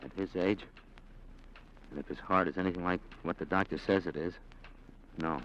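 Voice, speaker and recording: A scheming nephew who speaks in clipped, coldly insistent lines. His voice is slightly low, bright and tense, with faint hiss behind it from the old broadcast. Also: fairly even intonation, moderate pace, highs cut off. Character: scheming young nephew plotting murder. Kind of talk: 1940s radio acting.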